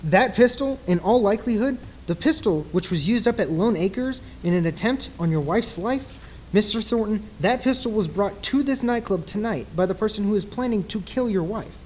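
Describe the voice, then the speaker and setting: A man playing an earnest, grave nightclub mentalist in an old-time radio play, speaking formally and deliberately in a husky voice. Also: sits high, expressive, a little mellow, a little hiss or hum, low-quality recording. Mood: grave, insistent.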